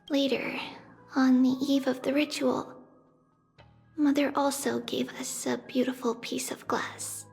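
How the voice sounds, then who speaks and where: An actress playing a gentle, wistful young-sounding demigod priestess in a video game, telling things in a measured, reflective way. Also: female voice, high-pitched, vocal fry, full character voice. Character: childlike female high priest, gentle, wistful, nostalgic demigod. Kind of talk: scripted video game dialogue.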